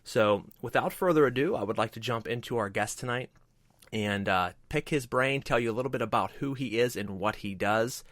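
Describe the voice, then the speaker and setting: A man's voice: mid-range voice, fairly quick, fairly bright tone, dry recording. A man hosting a talk show, reading sponsor plugs and welcoming a guest with enthusiasm and frequent fillers. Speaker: host.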